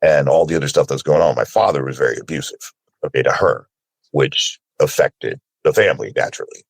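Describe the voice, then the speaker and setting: A man with a very low, creaky voice that has a husky edge. He speaks casually and candidly, as a guest on a panel, in an informal conversational way. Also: brisk, no room sound. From Sri Lanka, moved to America as a child. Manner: informal and candid, often checks in with "you know". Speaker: panelist, voice actor.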